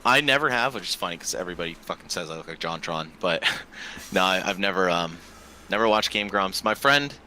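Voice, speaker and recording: A young man in his twenties with a very low voice and a bright, forceful edge. He talks loosely and at length as a podcast guest, scattering fillers and the odd curse, over a faint ambient hiss. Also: lively intonation, fairly quick. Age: 20s. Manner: loose and talkative, heavy use of filler words and swearing. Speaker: podcast guest, esports tournament organizer. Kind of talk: casual podcast chat.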